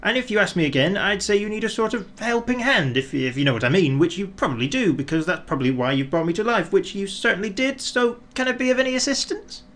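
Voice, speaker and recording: A man's voice: high-pitched, animated, fast talker, hardly any pauses, fairly bright tone, voice pushed up. A man voicing a talkative, helpful storybook snowman who rambles on with many asides. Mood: eager, chatty. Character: chatty, rambling, helpful male snowman brought to life.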